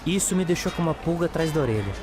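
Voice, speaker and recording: A man playing a thoughtful, cautious detective's sidekick in an audio drama, with a Brazilian accent and an inquisitive, deliberate manner. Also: a little high, expressive, lightly acted. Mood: curious, suspicious. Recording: loud music and street sounds behind. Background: music, urban street ambience with vehicles.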